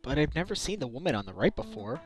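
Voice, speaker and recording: A man's voice: medium pitch, very animated, fast, husky, soft background music. A man voicing an earnest, determined defense lawyer in a fan-dubbed video game. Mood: curious. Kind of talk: fan dub of a visual-novel video game.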